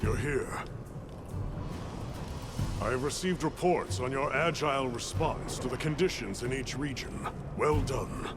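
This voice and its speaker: An actor playing a composed professor in command in a video game, speaking formally. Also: male voice, mid-range voice, a little slow, pauses often, lightly acted. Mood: approving. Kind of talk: video game cutscene acting. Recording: clear background noise.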